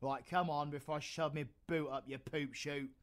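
A man's voice: fairly high pitch, steady tone, normal speed, dry recording, close to an everyday voice. A British man playing a cocky, foul-mouthed yet protective supervillain in an audio roleplay. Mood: cocky, playful. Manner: slangy, swearing, swinging from murmurs to outbursts. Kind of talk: audio roleplay, intimate ASMR acting.

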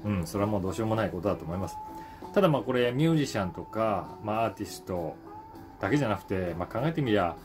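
A man in his forties chatting casually and reflectively to the camera, pausing to think as he goes. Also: fairly low pitch, animated, music in the background. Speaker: voice actor and stage actor, channel host. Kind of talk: casual solo talk to camera, daily column.

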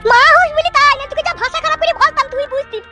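Performer as sharp-tongued village girl of eighteen, bright raised-pitch voice, rural West Bengal accent, exasperated. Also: very fast, faint music behind, full character voice. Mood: fed up, accusing. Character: sharp-tongued, fed-up eighteen-year-old village daughter. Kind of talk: comedy cartoon dialogue.

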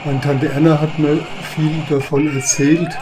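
A man's voice: fairly high pitch, level tone, measured pace, background noise. A man narrating old home movies in a chatty, reminiscing voice-over. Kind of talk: casual voice-over commentary. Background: background music.

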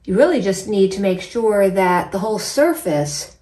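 A woman in her forties chatting casually in an expressive, emphatic way, as a home cleaning vlogger. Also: mid-range voice, moderate pace. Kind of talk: casual vlog chat and narration while cleaning. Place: small tiled bathroom, indoors. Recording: roomy bathroom echo, distant mic.

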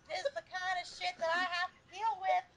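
Really high voiced woman on a podcast, sassy ranting and cursing, bit of background noise. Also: steady tone, fairly quick, fairly bright tone. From Canada. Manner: indignant, foul-mouthed ranting. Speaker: podcast panelist. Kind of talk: animated group banter.

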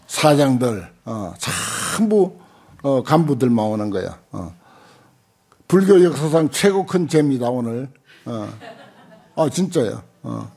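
An older man lectures as a Buddhist monk. His voice is husky and mellow, and he talks informally, pausing often and dropping in short interjections as he explains a text into a desk microphone. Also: a little high. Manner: halting, conversational, with frequent pauses and short interjections. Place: lecture room at a temple center, seated at a desk. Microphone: desk gooseneck microphones close in front.

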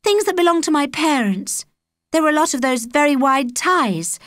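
A woman's voice: sits high, expressive, normal speed, fairly bright tone, dry recording, clean studio sound, voice pushed up. A British woman voicing an earnest, hesitant young girl in a children's audiobook. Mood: eager. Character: young girl, earnest, unsure, a little anxious.

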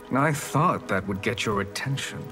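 An actor playing a smug, scheming sorcerer villain in a fighting game, with an oily, taunting manner. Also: male voice, mid-range voice. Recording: loud music behind.

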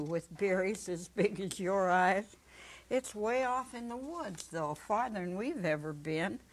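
An elderly woman performing dialogue in a dramatic story reading, with unhurried, acted storytelling. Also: medium pitch. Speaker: story reader. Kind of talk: dramatic reading, in character as an old friend. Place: small indoor set.

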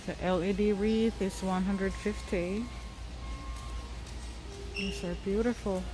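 A woman's voice: medium pitch, fairly even intonation, takes it slowly. A woman casually narrating a shopping trip in short, simple phrases, calling out items and prices. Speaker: shopping vlogger. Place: large indoor warehouse store. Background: music.

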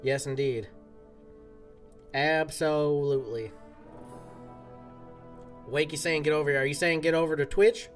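Streamer, male, drawl, folksy chatter, slightly high voice, faint music behind. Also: steady tone, unhurried, long pauses. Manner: folksy cowboy-style talk. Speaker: game streamer. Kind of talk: casual live-stream chat. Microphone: desk mic, game audio from a speaker.

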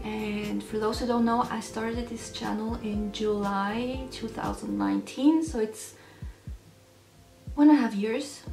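A young woman from Switzerland hosting a casual Q&A vlog from her bedroom, talking to the camera in a chatty, slightly self-conscious way with pauses and restarts. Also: fairly high pitch, vocal fry, darkish tone, roomy sound, far from the mic. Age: young adult. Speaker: channel host. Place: bedroom at home, indoors.

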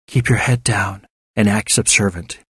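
A man's voice: fairly low pitch, moderate pace, fairly bright tone, slightly rough, dry recording. A man voicing a cautious male doctor who speaks formally and politely in an audiobook story. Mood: hushed.

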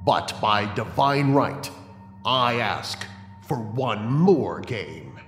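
A man's voice: on the low side, sing-song, drawn-out words, a bit bright, soft background music, exaggerated voice. An actor playing an imperious king who makes formal proclamations in a horror audio drama. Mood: regal, commanding. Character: imperious male king granting cruel games. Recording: echoey hall with long reverb.

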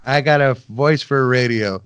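Rambling middle-aged podcast host, dry sound. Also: male voice, medium pitch, a little slow, a bit bright, clear, resonant tone, dry recording. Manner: rambling, self-deprecating, folksy asides to listeners. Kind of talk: casual interview chat. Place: home room, video call. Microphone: headset with boom mic.

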